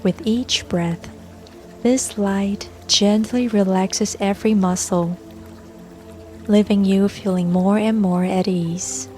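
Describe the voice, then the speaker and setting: A woman leading a scripted guided meditation in a gentle, soothing, unhurried manner. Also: medium pitch, fairly even intonation. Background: soft music and babbling brook sounds.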